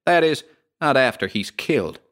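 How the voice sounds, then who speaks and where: A man voicing a coolly unbothered landlady of a haunted boarding house, with a metallic voice and a slow folksy drawl. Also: mid-range voice, big pitch swings, fairly bright tone, pitched above the performer's own voice.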